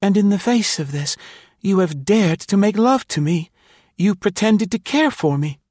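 A man's voice: fairly high pitch, normal speed, no echo, clean studio sound, voice pushed up, close to an everyday voice. A man voicing a frightened young woman who speaks with earnest, dramatic feeling, by turns pleading and firm. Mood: wounded.

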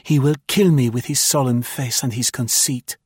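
A man's voice: medium pitch, moderate pace, slightly rough, dry recording, studio quality, pitched above the performer's own voice, close to an everyday voice. A man voicing an imperious, passionate young female spy, speaking with heightened emphasis.

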